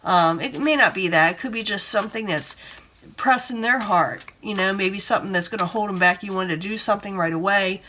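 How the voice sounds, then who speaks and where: Hoarse woman with a bright voice. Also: medium pitch, a little fast, slight background noise. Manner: rambling, repeats herself, gives advice. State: hoarse from a cold, breathing hard. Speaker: tarot reader. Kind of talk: casual tarot reading.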